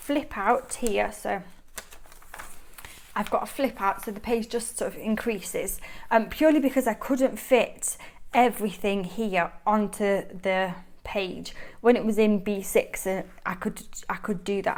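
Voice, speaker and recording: Young mancunian woman chatting away about her planner, bubbly, laughs a lot, middle pitch. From Manchester, England. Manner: chatty, enthusiastic, laughs often. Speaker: planner vlogger. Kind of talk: casual show-and-tell chat.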